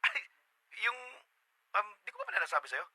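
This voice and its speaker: An actor playing a secretive, sweet-talking boyfriend in a Tagalog radio drama, hesitant and placating. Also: male voice, high-pitched, wildly swooping pitch, a little fast, pushed and bright, exaggerated voice. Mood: nervous, evasive. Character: evasive, secretive, sweet-talking boyfriend who lavishes gifts.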